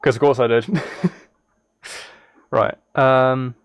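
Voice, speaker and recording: A man's voice: mid-range voice, steady tone, slow pace, smooth, no room sound. A man livestreaming casually, reacting and chatting in an easily sidetracked, self-deprecating way. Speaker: live streamer. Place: indoors at a streaming setup.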